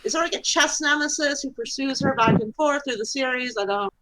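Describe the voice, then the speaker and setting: A woman's voice: high voice, brisk, a bit bright, low-quality recording. A woman chatting during an online game, reasoning aloud and asking questions. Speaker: game stream guest. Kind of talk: casual gaming chat.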